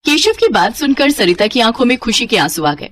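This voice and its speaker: A woman narrating a scripted children's story in an expressive storyteller's manner. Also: fairly high pitch, brisk, no room sound.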